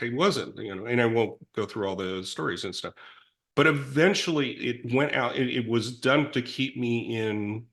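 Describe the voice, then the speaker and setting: A middle-aged man in recovery telling his story in a reflective, rambling way with many restarts and self-deprecating asides. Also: medium pitch. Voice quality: big loud voice. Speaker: guest in recovery.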